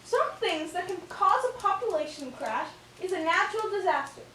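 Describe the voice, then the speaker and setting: A woman's voice: extremely high pitch, lively intonation, moderate pace, pushed and bright, full character voice. A woman voicing a schoolteacher puppet in an amateur scripted show, praising and prompting her class with questions. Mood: instructive. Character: chirpy puppet schoolteacher lady leading a science class. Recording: echoey room, distant mic, faint noise.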